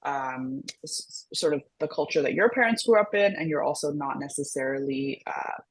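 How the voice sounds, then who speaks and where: Low husky woman, Americanized accent. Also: lively intonation. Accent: American-sounding international school accent. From Singapore. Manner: thoughtful, halting, many fillers and restarts. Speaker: mental health counselor co-hosting a discussion. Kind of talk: casual reflective conversation.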